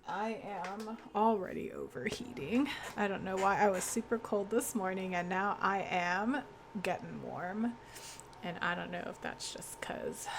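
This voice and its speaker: Slow, gravelly young woman streamer. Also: medium pitch, noisy background. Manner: chatty, laughs often, greets chat by name. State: congested with a sore throat. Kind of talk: casual game stream chat.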